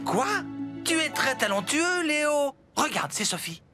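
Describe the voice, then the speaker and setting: Over loud music comes a woman acting an eager young cartoon digger. The voice is high and bouncy, excitable, exclamatory and encouraging. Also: measured pace. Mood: supportive. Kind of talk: children's cartoon dub acting.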